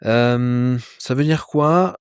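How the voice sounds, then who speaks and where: A man being interviewed about life and ambition, talking in a lively casual way with frequent fillers, restarts and mild swearing, outdoors. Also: medium pitch, very slow, clear, resonant tone, dry recording, clean studio sound. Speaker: polar explorer guest. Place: outdoors with a view of a landscape.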